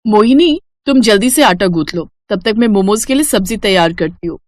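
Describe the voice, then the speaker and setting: A woman voicing a sensible, gentle young village wife in a cartoon story, earnest and soothing. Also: medium pitch, animated, a little fast, dry recording, clean studio sound, close to an everyday voice. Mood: busy, cheerful.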